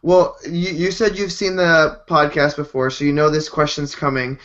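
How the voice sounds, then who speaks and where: A man's voice: a little high, little pitch movement, normal speed, a bit bright. A man hosting a podcast, asking friendly, rambling questions in a casual interview. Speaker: podcast host. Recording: dull lo-fi sound.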